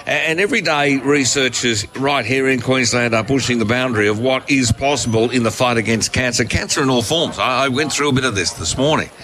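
A man's voice: mid-range voice, a little fast, few pauses, sharp tone. An Australian man hosting a morning talk radio show, chatty and upbeat as he interviews a guest. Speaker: radio host.

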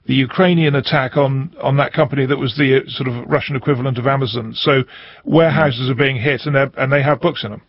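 A man hosting a radio interview, asking questions in a conversational way with fillers and a gravelly, husky voice. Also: medium pitch, fairly even intonation, quick pace, dry recording, dull audio. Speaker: radio host.

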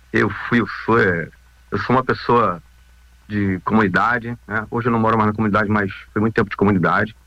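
A man's voice: on the low side, a bit bright, no room sound, lo-fi sound. A man in his fifties with a Rio de Janeiro Brazilian accent, speaking informally as an interview guest, repeating phrases and adding tag questions. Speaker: interview guest, volunteer rescue group founder.

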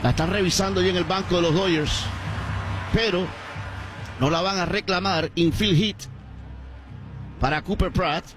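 A man calling baseball play-by-play on the radio, brisk and rising to shouts on big plays. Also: high voice, music in the background. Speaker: play-by-play announcer.

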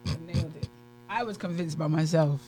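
High voice guy co-hosting radio, chatty and playful, tells little stories. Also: fairly quick, mellow, faint music behind. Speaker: radio show co-host. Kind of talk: casual live radio banter.

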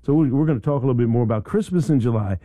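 A man's voice: medium pitch, very fast, mellow, dry recording. A middle-aged man hosting a radio talk show in a conversational interview style.

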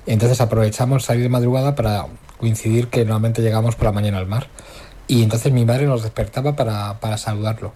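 A man's voice: on the low side, fairly even intonation, fast, dark tone. A man from Madrid leaving a recorded message for a radio show, fondly recalling a childhood memory. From Madrid, Spain. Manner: tender, reminiscing. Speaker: caller.

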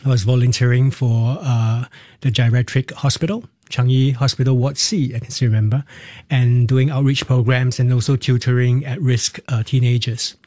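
Mellow-voiced Singaporean man, reflective. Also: medium pitch, steady tone, normal speed, dry recording, clean studio sound. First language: Cantonese. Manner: reflective, laughs easily, speaks in short restarting phrases. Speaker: interview guest, musical theatre performer. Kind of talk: candid personal storytelling.

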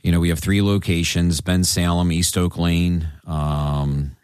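A man's voice: sits low, flat delivery, unhurried, darkish tone, smooth, no echo, studio quality. A man, a doctor co-hosting a casual radio chat, joking and self-mocking. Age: 50s.

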